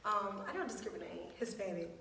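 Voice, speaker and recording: A woman's voice: medium pitch, animated, a little fast, creaky, heavy background noise. A woman of twenty-one, a panel guest, talking with sassy, playful humor. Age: 20s. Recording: echoey room, from a distance.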